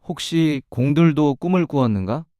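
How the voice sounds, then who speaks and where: A man's voice: a little high, expressive, moderate pace, warm tone, no echo, clean studio sound, natural voice. A man voicing a bold young commander who speaks formally and with authority in a storybook reading.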